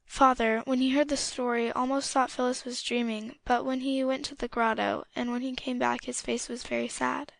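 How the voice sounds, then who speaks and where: A woman's voice: fairly high pitch, flat delivery, normal speed, gravelly, few pauses, no echo. A woman narrating a scripted story as an audiobook reader.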